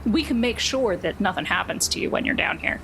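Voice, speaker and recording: A woman voicing a tough male guard who is a fan but quietly menacing, in a tabletop roleplaying game. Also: medium pitch, animated, fairly quick, background noise, lightly acted. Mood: guarded, firm. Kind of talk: game master voicing non-player characters.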